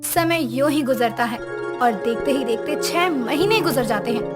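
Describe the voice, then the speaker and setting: High-pitched woman narrating a story. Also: animated, moderate pace. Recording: music under voice.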